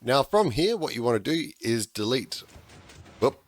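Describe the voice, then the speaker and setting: A man, slightly hoarse because he is losing his voice, talking through a game-building tutorial in a chatty way with frequent restarts. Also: medium pitch, sing-song, measured pace, no echo. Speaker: gaming tutorial host. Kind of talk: casual game tutorial voice-over.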